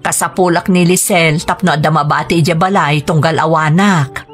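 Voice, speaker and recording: A woman playing a careworn, pleading mother in a radio drama, with a husky voice and an Ilocano accent. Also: deep voice, steady tone, normal speed. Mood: apologetic, pleading. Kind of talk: Filipino radio drama acting. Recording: music bed under dialogue. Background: music.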